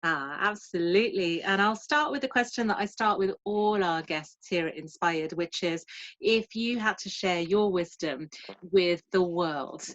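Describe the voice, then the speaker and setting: A woman's voice: fairly low pitch, unhurried, fairly bright tone, dull audio. A woman from London hosting an online interview, introducing her guest in an effusive, friendly way. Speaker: host. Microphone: video call.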